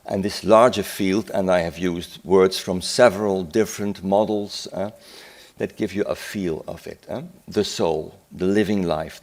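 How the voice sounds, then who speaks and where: Deep-voiced older lecturer. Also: male voice, creaky, darkish tone. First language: Dutch. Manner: reflective, unhurried, with filler "eh?" asides and restarts. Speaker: psychiatrist giving a conference talk. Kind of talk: lecture at a symposium. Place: conference hall.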